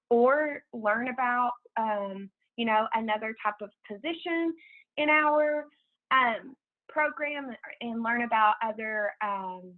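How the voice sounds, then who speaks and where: An American woman giving informal announcements as a program coordinator on a conference call, in a casual, halting way over a tinny phone line. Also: fairly high pitch. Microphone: telephone conference call.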